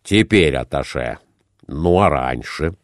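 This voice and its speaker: A man voicing a blunt, suspicious merchant in a storybook reading, questioning in a measured, pointed and persistent way. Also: rumbling low, animated, no room sound, studio quality, voice pushed down, heavily acted. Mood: suspicious, probing.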